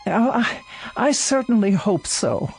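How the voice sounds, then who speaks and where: Frail, proper, fretful old woman, unhurried delivery, radio drama over clear music bed. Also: mid-range voice, very animated, darkish tone. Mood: hesitant. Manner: hesitant, fussy, proper. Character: frail, lonely, fretful elderly woman living alone. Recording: radio play with music underneath.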